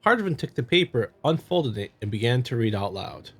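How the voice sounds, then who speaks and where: A man hosting a visual novel playthrough, reading narration and commenting casually in a halting, stumbling way. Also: medium pitch, big pitch swings, a bit bright, husky. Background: music.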